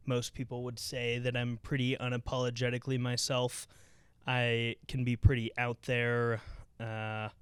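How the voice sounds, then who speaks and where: A man's voice: mid-range voice, clear, resonant tone, no room sound. A young man hosting a casual podcast from his couch, talking loosely with lots of fillers and restarts. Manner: chatty, rambling with many fillers. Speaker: podcast host. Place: home living room.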